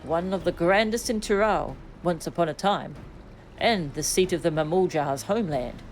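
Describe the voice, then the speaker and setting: A woman voicing a composed scholarly adviser from a video game, formal and measured in manner. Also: on the low side, slight background noise, close to an everyday voice, poor audio. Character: composed, scholarly explorer-adviser explaining legends. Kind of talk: video game dialogue read aloud.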